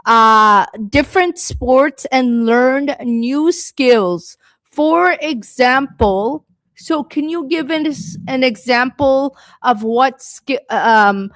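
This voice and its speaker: Woman, live-stream English teacher, slow and encouraging, dry close sound. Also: high voice, a bit bright, no room sound. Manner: patient, encouraging, talks to viewers by name. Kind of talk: live-stream speaking lesson reading chat comments.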